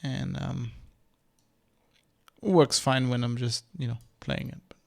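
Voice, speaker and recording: A man's voice: mid-range voice, fairly even intonation, measured pace, vocal fry, a little mellow. A man giving rambling, casual commentary while streaming a flight sim. He restarts and corrects himself often. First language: German.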